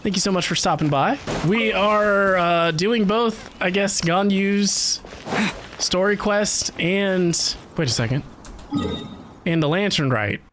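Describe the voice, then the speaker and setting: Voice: mid-range voice, takes it slowly, noisy background. A game streamer, chatting informally and casually during a livestream. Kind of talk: casual livestream chat while gaming. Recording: game music behind the voice.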